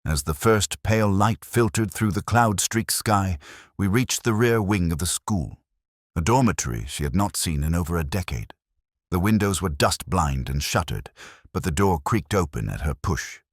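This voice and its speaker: A man with an extremely low voice narrates a detective story in a measured, literary manner. His pitch moves around in a lively way, and the sound is dry and close. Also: no room sound. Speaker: audiobook narrator.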